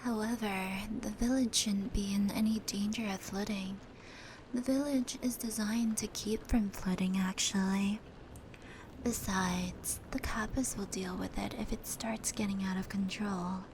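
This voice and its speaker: Soft, slow-spoken fox spirit played by a woman. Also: mid-range voice, lightly acted, rough recording. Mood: calm, reflective. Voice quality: soft, breathy, often near whisper. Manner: gentle, unhurried, teasing. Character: playful, caring fox spirit woman, mentor and storyteller. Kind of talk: ASMR roleplay, soft intimate storytelling. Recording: steady rain and brushing noise layered in. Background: indoor rain and brushing sounds.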